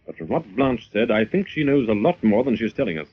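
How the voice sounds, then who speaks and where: An actor playing a composed, shrewd gentleman detective, reasoning briskly and with assurance in old radio drama style. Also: mid-range voice, sing-song, breathy edge. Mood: composed, probing. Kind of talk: 1940s radio drama acting. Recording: muffled, noisy vintage radio recording.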